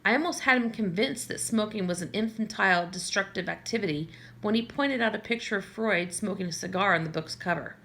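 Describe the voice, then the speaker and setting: A woman's voice: mid-range voice, fairly quick, fairly bright tone. Woman reading a novel aloud. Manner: conversational, with small slips and self-corrections. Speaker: audiobook reader. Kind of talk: first-person novel reading.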